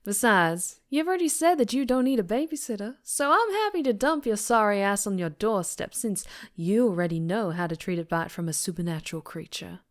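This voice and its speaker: A woman's voice: mid-range voice, lively intonation, a little fast, few pauses, lightly acted. A woman playing a fierce, flirtatious vampire biker with a Southern US accent, teasing and protective in an intimate ASMR roleplay. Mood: sassy, flirty.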